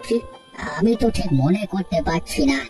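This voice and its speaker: A woman's voice: fairly low pitch, lively intonation, moderate pace, mellow, recorded from a distance, natural voice. A woman voicing a stern, suspicious old village man in a cartoon, questioning and admonishing. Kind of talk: cartoon drama voice acting. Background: music.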